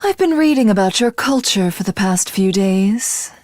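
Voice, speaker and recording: Actress doing a proud cold warrior queen type for a game, slow n formal, pitch swings a lot, super dry studio sound. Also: lively intonation, darkish tone, no room sound, close to an everyday voice. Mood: solemn, measured. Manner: imperious, measured, formal, commanding. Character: proud, cold alien warrior woman, supreme commander. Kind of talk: video game character voice acting.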